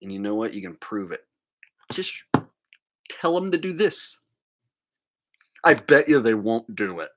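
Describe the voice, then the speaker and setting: A man's voice: fairly low pitch, big pitch swings, a little slow, long pauses, fairly bright tone. A man hosting a livestream, delivering a casual, crude, mocking rant in a monologue. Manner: mocking, crude, acting out mimicry. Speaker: livestream host.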